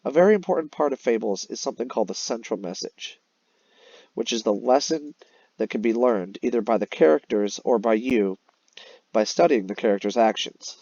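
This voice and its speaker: A male teacher explains a lesson to young children in a lively, varied voice. He speaks instructively, as if guiding a class through a read-aloud. The recording is dry and somewhat dull. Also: medium pitch, a little fast, breathy edge, dry recording. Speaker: elementary teacher. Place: voice-over on a screen-recorded slide lesson.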